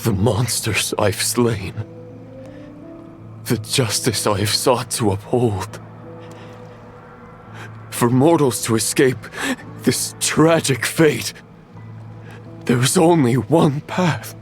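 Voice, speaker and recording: An actor playing a solemn, tormented knight in a video game, speaking in a grave, formal manner. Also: male voice, medium pitch, takes it slowly, long pauses, close to an everyday voice. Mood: grim resolve. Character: solemn, tormented male knight seeking justice. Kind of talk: video game dramatic voice acting. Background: music.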